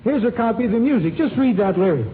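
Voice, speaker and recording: A man's voice: very high-pitched, lively intonation, fast, background noise. A man performing scripted musical comedy patter on an old radio variety show. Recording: tinny vintage radio, loud music behind.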